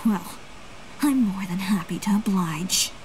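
A woman's voice: mid-range voice, measured pace, noisy background, natural voice. A woman playing a proud, fiery young fashion designer in an anime game, curt and self-assured. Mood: indignant. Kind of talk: anime video game dialogue. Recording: game music behind.

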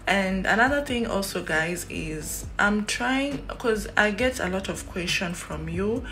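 A woman's voice: mid-range voice, measured pace. A young Kenyan woman with a Kenyan accent, chatting casually to her phone camera in a small room, rambling with many fillers. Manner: chatty, rambling, lots of fillers and animated hand gestures. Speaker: YouTuber. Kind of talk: casual vlog chat to camera. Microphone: phone at arm's length.

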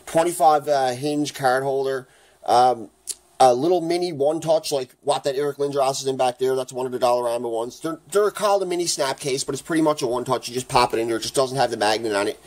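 A man with a raspy voice from the flu, chatting casually as he opens hockey card packs in his home kitchen. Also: medium pitch, quick pace. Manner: chatty, rambling, excited at good pulls. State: raspy, getting over the flu. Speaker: hockey card channel host. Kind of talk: casual card-opening vlog.

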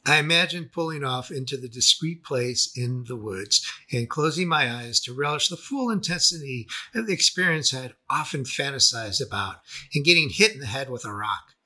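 A man reading a scripted first-person memoir as its narrator, with a husky voice. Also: mid-range voice, expressive, normal speed, bright, forceful tone. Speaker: author and narrator.

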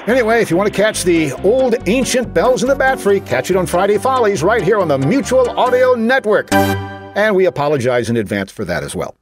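High voiced guy doing a jokey scripted promo, super animated, music behind. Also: normal speed. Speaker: promo announcer. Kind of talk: tongue-in-cheek scripted promo.

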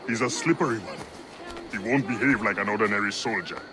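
An actor playing a resolute assassin leader in a video game, with a deep voice and a measured, solemn manner. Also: male voice, close to an everyday voice. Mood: stern. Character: grave, resolute male assassin leader planning revenge. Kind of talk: video game drama acting.

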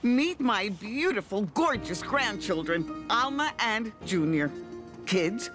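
A woman in her seventies voicing a cheerful grandmother in a children's cartoon, greeting in a slow, grandmotherly way. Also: mid-range voice, lively intonation, over music. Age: 70s. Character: cheerful grandmother, Granny Isa.

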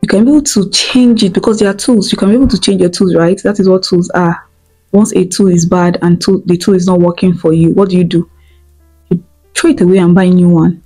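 A woman's voice: lowish voice, steady tone, brisk, dark tone, soft background music, poor audio. A young Nigerian woman in her twenties with an Igbo accent, giving an earnest motivational talk to camera on a lapel mic, repeating her points and checking in with "okay?". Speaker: vlogger. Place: indoor room at home.

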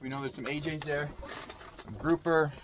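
A young man hosting a fishing vlog, chatting excitedly to viewers as he fights fish on a small boat at sea, recorded on an action camera. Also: on the high side, normal speed, poor audio. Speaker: fishing channel host. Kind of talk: casual fishing vlog chat. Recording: wind and boat noise outdoors.

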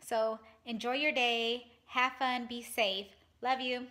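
Young woman, bright slightly high voice, sincere phone selfie at home. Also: little pitch movement, slow pace. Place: indoors at home.

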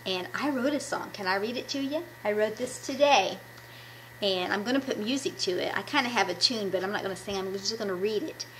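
A woman's voice: mid-range voice, brisk, a bit bright, slight background noise, poor audio. A woman in her fifties giving an enthusiastic devotional talk to children, recorded on a webcam at home. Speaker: children's ministry puppet presenter. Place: small room at home. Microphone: webcam at arm's length.

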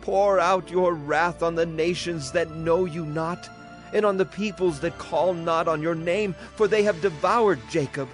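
Solemn man reading scripture over music. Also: a little high. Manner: measured, solemn. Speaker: Bible narrator.

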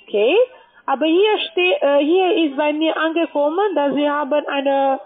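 A woman's voice: high-pitched, moderate pace. A woman with a foreign accent in German, not a native speaker, making a cold sales call as a call centre agent. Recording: tinny narrow phone line.

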